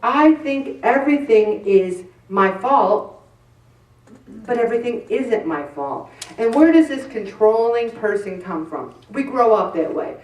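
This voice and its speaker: A woman's voice: on the high side, animated, measured pace, a little mellow. A middle-aged woman giving a candid, self-deprecating faith-based talk to a group, acting out her own inner voices. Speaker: group speaker. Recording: roomy echo from some distance.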